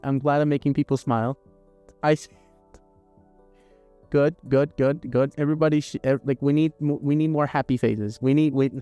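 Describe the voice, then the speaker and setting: A man's voice: mid-range voice, warm tone, no echo. A young man chatting casually on a live stream while crafting, rambling, laughing and swearing as he reads chat aloud. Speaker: arts and crafts livestreamer.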